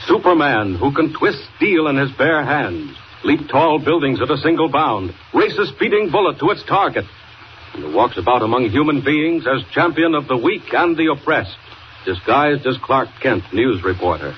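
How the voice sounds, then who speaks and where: A man's voice: mid-range voice, very animated, normal speed. Old time radio announcer guy, dramatic 1940s delivery, dull sound with noise behind. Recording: muffled, noisy 1940 radio recording.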